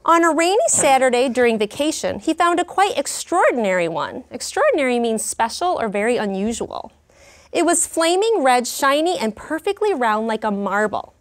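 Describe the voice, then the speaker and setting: A woman in her thirties, a teacher in a classroom, reading a story aloud with clear, expressive delivery and pausing to explain words. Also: high voice. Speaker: kindergarten teacher. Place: elementary classroom.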